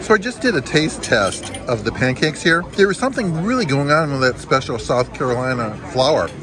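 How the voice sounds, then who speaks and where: A man's voice: mid-range voice, lively intonation, a little fast, a crowd in the background. A man hosting a casual food vlog at a cafe, joking and giving upbeat commentary on the dishes. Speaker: food vlogger. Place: cafe patio, outdoors.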